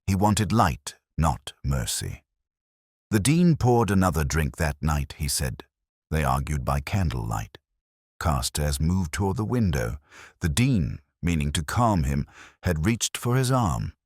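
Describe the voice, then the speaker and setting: A man playing a weary, melancholy police inspector, narrating his case in a measured, literary, brooding way as a first-person detective story. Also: a bass voice, big pitch swings, slightly rough, no echo, clean studio sound, exaggerated voice. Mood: sombre, reflective.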